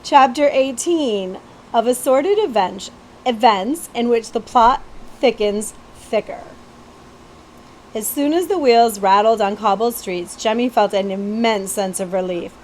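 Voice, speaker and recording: A woman's voice: on the high side, a little slow, slight background noise. A woman reading a children's story aloud expressively, as a librarian would, stumbling now and then. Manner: animated storytelling with occasional stumbles and asides.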